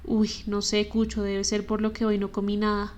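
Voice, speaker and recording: A man's voice: high-pitched, completely flat delivery, normal speed, natural voice. A woman voicing a wary young man who answers in short, guarded lines, with little rise and fall.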